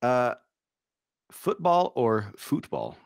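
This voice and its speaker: A middle-aged man hosting a casual interview over a video call, upbeat with quick reactions, speaking into a studio mic. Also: mid-range voice, wildly swooping pitch, gravelly, dry recording. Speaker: interview host. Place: home studio. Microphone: boom studio microphone with headphones.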